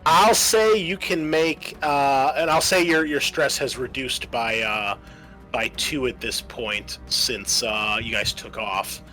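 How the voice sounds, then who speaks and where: A man's voice: mid-range voice, takes it slowly, bright, forceful tone, rough recording. A man speaking casually as game master and host, narrating a tabletop game with informal, hesitant phrasing. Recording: faint music under the voice.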